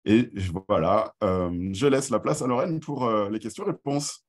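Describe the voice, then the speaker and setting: A man giving an informal business presentation in a hesitant, halting way with many filled pauses. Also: mid-range voice, animated. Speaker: workshop presenter.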